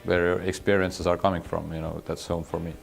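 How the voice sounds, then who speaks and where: A man's voice: low-pitched, flat delivery, a little fast, creaky, faint music behind. A man answering interview questions thoughtfully, with many fillers.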